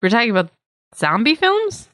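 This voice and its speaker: A woman's voice: on the high side, sing-song, moderate pace, noisy background. A woman co-hosting a comedy podcast, explaining and bantering in a wry, irreverent way. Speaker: podcast co-host.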